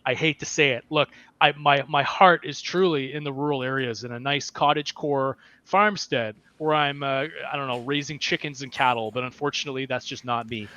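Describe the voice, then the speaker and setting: Man, mid pitch, mock-scholarly jokes, lo-fi mic, faint hiss. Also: steady tone, a little fast, hardly any pauses, bright, forceful tone, no room sound. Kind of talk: casual livestream chat.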